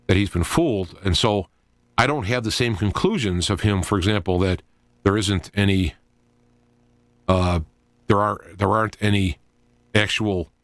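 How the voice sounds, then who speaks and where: A middle-aged man hosting an informal solo talk radio show, speaking in a halting, digressive way as he thinks aloud. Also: fairly low pitch, no room sound.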